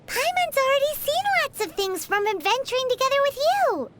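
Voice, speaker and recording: A woman voicing a tiny, childlike fairy companion in a video game, with a squeaky voice and a perky, eager manner. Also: big pitch swings, a little fast, fairly bright tone, slight background noise, exaggerated voice. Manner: perky, refers to self in third person.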